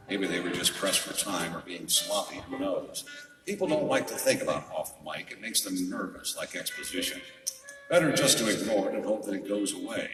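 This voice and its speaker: A man playing a world-weary, wisecracking private detective in a noir radio drama, speaking in a wry, deliberate way. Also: low voice. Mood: brooding, wry. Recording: echoey hall, distant mic.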